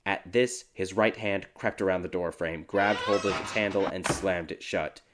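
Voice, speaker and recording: A man narrating a dark fairy tale audiobook in a dramatic, scripted storytelling style. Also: deep voice, fairly even intonation, moderate pace, background noise. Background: background music.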